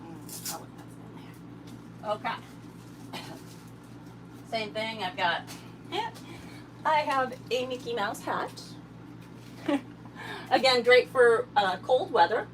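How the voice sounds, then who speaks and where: A woman hosting a casual livestream while tidying her RV, a little hoarse from a summer cold, chatting and thanking viewers by name. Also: fairly high pitch, lively intonation, slow, pauses often, slight background noise, low-quality recording. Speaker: livestream host. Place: inside an RV.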